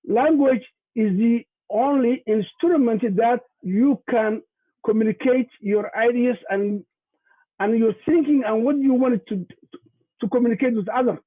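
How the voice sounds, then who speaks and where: A man with a Somali accent, a native Somali speaker, giving a halting presentation over a video call, repeating words and using many fillers. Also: very high voice. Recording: tinny phone-like video call audio.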